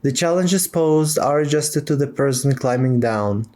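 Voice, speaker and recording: A man playing a solemn lorekeeper, narrating fantasy game lore in a measured manner. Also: medium pitch, a little mellow, lightly acted.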